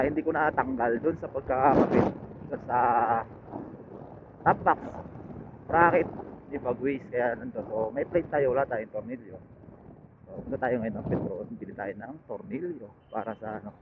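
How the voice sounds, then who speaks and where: A man with a Filipino accent chats casually and haltingly to viewers as a motorcycle vlogger, thinking aloud through a helmet intercom mic. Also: mid-range voice. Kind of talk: casual unscripted ride chat to viewers. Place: outdoors, riding a motorcycle. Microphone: helmet intercom headset linked to action camera. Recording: phone-like helmet intercom mic, loud engine noise. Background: loud motorcycle engine and road noise.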